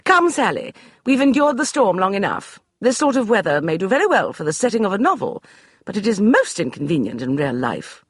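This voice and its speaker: A man voicing an imperious upper-class Regency lady with a cool, crisp, commanding voice that brooks no argument. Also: sits high, huge pitch swings, fairly quick, no room sound, studio quality, voice pushed up, heavily acted.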